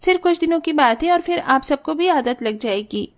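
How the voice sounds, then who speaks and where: A woman's voice: high voice, animated, normal speed. A woman voicing a breezy, stubborn young daughter-in-law who justifies herself airily in a cartoon family drama. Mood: defiant. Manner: airy, excusing.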